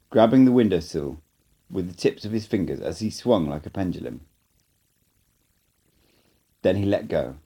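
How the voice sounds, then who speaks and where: A man reading an audiobook in first-person narration, calm and measured. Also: lowish voice. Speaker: amateur audiobook reader.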